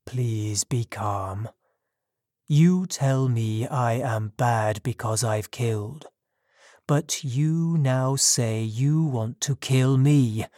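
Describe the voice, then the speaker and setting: A narrator voicing a lonely, wronged male monster in an audiobook story, pleading yet menacing, with slow, deliberate delivery. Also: medium pitch, a little mellow, no echo, clean studio sound, natural voice. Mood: quiet, pleading. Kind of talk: dramatised audiobook dialogue.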